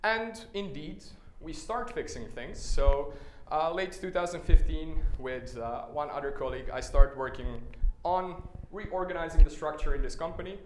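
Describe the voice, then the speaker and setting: A man's voice: a little high, moderate pace. Serbian-born man giving a casual meetup talk. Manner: casual, many "uh" fillers, wry asides. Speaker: conference speaker. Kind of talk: conference talk with slides. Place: meetup stage, indoors. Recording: echoey room, faint noise.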